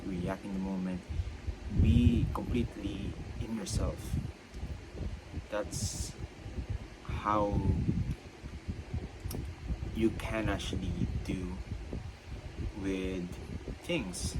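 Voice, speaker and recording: A young man, a student, talking unscripted about self-control, with a stutter that makes him halt and repeat himself. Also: deep voice. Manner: stutters, halting and repetitive. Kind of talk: unscripted reflective monologue. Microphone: own mic. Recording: loud background noise.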